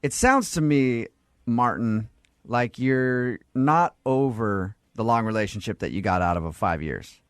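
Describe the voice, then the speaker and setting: A man's voice: mid-range voice, expressive, unhurried, clean tone, no room sound. A man hosting a morning radio show with teasing, joking banter, close on a studio microphone.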